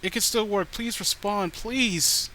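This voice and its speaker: A man's voice: high voice, unhurried, bright, forceful tone, pitched above the performer's own voice. A man voicing an unhinged, possessive girlfriend who is volatile, pleading and cloying, in a horror story reading. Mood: desperate pleading. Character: unhinged clingy murderous girlfriend, possessive, swinging from rage to sweetness. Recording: noticeable background noise.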